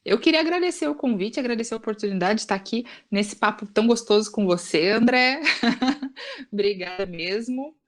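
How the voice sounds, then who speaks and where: A woman's voice: a little high, expressive, normal speed. A Brazilian woman explaining things as an expert guest in a casual podcast conversation, often adding short tag questions.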